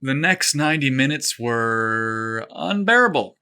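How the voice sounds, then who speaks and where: A male storyteller reads a scripted tale, lively and expressive. His voice is bright and clear, set at an ordinary pitch. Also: a little slow.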